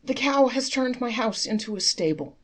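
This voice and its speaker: A woman's voice: on the high side, animated, normal speed, pitched above the performer's own voice. A woman voicing a poor, overwrought man in a storybook, with a dramatic, pleading manner. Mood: wailing, frantic. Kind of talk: storybook character voice.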